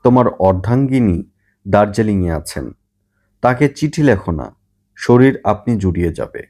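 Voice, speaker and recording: A man's voice: lowish voice, sing-song, moderate pace, warm tone. A man voicing a weary, pleading young married woman in an audiobook story. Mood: teasing, peeved.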